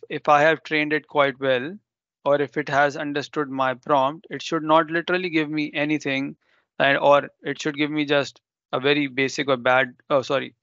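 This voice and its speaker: A man's voice: on the high side, a bit bright, no echo, muffled recording. A man whose first language is Urdu, presenting a live technical demo and explaining step by step with ums and self-corrections.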